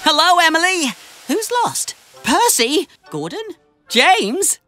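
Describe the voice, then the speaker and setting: A woman's voice: very high-pitched, big pitch swings, takes it slowly, bright, forceful tone, faint music behind, full character voice. A woman voicing a cheerful, helpful young boy steam engine in a children's cartoon, earnest and exclamatory. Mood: friendly, curious. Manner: earnest, wide-eyed, exclamatory.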